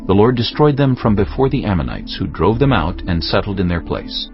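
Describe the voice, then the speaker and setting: A man narrating scripture with an expressive, lively delivery. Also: fairly low pitch, fairly quick, creaky. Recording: dull lo-fi audio with music.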